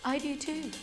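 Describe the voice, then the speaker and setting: A woman playing a sweet, overly optimistic, anxious girlfriend in a dark comedy film, speaking in a hesitant, apologetic way. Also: high-pitched, exaggerated voice. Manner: hesitant, apologetic, self-effacing.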